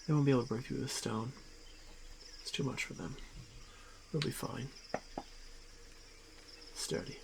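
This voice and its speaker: Man acting a stoic orc warrior, slow and measured. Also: mid-range voice, steady tone, creaky, noisy background. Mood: calm, reassuring. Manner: measured, halting, terse phrases with solemn pauses. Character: stoic orc warrior hunter, protective and confident. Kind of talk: ASMR fantasy roleplay.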